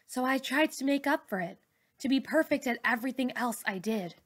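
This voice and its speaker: A proud and guarded female teacher with exacting standards, played by a woman reader. Her bright voice is firm and earnest, with a defensive edge, in storybook dialogue. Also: sits high, animated, a little fast, voice pushed up. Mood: proud, wounded. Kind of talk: children's novel read-aloud dialogue.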